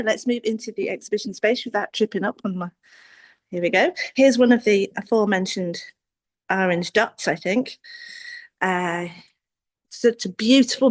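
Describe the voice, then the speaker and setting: A woman presenting a live walk-through on a video call, informal and enthusiastic. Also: mid-range voice. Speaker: roving presenter filming a gallery tour. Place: indoors in a gallery landing and exhibition space. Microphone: handheld device on a video call.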